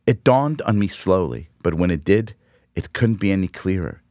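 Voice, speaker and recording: A middle-aged man, Hebrew speaker, reading a personal essay aloud in a measured, reflective, wry way. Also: low-pitched, animated, darkish tone, no echo, studio quality. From Israel.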